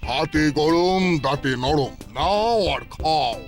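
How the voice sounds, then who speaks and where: Jolly cartoon food-stall uncle, fairly high voice, singsong rhyming pitch, faint music. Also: male voice, lively intonation, slow pace. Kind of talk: children's cartoon dubbing.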